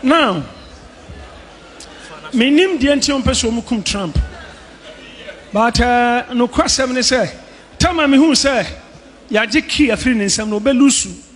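An older man with a Ghanaian accent preaching on a church stage, mixing Twi and English, joking and shouting with a handheld mic. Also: very high voice, slow, pauses often. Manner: animated, joking, shouting at times. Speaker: pastor. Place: large indoor church hall. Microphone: handheld microphone.

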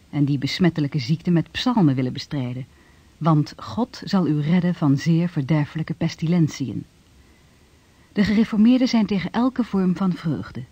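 An actor playing a sober historical chronicler, narrating from a script in a radio drama. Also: male voice, on the high side, mellow, slightly rough, no room sound. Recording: dull, dry old radio recording.

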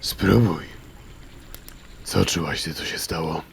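Man acting a very gravelly, low alien wanderer. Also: full character voice. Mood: quiet concern. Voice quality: gravelly, husky. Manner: quiet, slow, gentle. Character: calm, caring male draenei traveller leading his group. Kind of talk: fantasy audio drama acting.

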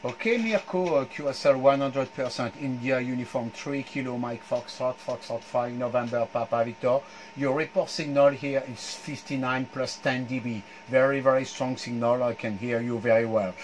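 A man's voice: medium pitch, fairly even intonation, moderate pace, hardly any pauses, a little hiss or hum. A middle-aged man with a French accent making amateur radio contacts, spelling out call signs phonetically. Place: small home radio room. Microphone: headset.

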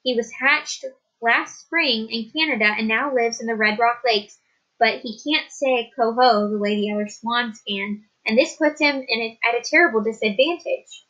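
A woman's voice: a little high, moderate pace, fairly bright tone, highs cut off. A woman doing an earnest, pleading young boy.

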